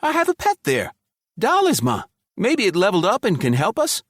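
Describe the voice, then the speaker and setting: A man voicing an eager young male friend, urgent and excitable. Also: high-pitched, normal speed, no room sound, clean studio sound, pitched above the performer's own voice, exaggerated voice.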